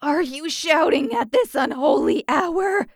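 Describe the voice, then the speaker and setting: A woman voicing a sleepy, sardonic aunt cat with a gravelly voice in a children's audiobook. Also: high voice, unhurried, no room sound, studio quality, voice pushed up. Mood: grumpy, sleepy. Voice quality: gravelly, broken-sounding.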